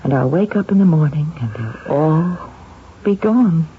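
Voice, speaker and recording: An actress playing an effusive, touchy, anxious wife in an old-time radio drama, earnest and theatrical. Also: female voice, low voice, animated, a little slow. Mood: dazed. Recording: muffled, noisy old radio recording.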